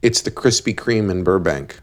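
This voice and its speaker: A man playing a blunt, deadpan cab driver who gives relationship advice in an animated series. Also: deep voice, moderate pace. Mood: dry, explanatory.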